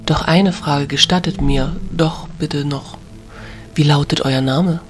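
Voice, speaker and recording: A man's voice: on the high side, steady tone, music in the background. Man voicing a dignified female creature leader. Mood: gentle, formal. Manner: formal, courteous, measured. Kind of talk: fantasy audio drama.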